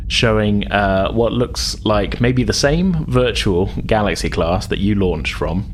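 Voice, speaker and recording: Low-voiced man running a tabletop game. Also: takes it slowly, slight background noise. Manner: hesitant, informal, explanatory, chuckling asides. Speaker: game master. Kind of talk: casual tabletop game narration. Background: background music.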